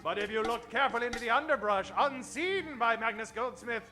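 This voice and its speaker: A man's voice: extremely high pitch, brisk, bright, forceful tone, faint music behind. A man narrating an old-time radio show in a theatrical, mock-dramatic announcer style.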